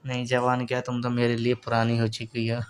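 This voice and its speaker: A man's voice: mid-range voice, monotone, moderate pace, clear, resonant tone. A middle-aged man in a casual personal call, talking in a teasing way and often repeating his phrases.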